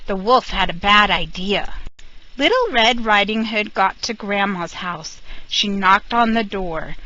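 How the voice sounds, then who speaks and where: Casting: bright female storyteller, wide lively pitch, slow pacing, dull lo-fi sound. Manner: expressive, animated storybook reading. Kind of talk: reading a fairy tale to children.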